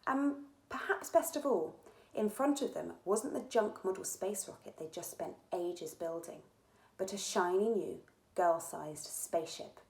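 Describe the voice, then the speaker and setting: A woman author reading her own children's adventure book aloud in an animated storytelling style. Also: on the low side, measured pace. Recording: echoey room.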